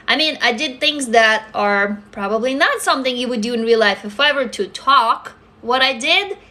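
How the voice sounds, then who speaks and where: Bright Russian-accented woman, forties. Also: sits high. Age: 40s. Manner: candid, swears freely, chatty asides to viewers. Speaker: livestream host. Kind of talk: casual livestream chat. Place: indoor room at a desk. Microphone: phone camera close up.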